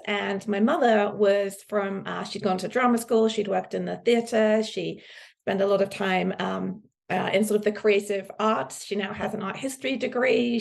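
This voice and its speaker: A woman's voice: medium pitch, creaky. A middle-aged woman, answering conversationally as a podcast guest. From UK, countryside in Suffolk, England. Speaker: podcast guest, business executive.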